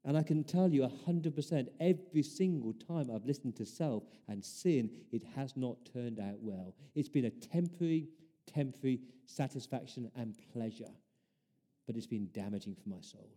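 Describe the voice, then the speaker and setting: A man's voice: medium pitch, animated, normal speed, mellow. A man preaching a sermon as pastor, earnest, building his points through repeated rhetorical questions.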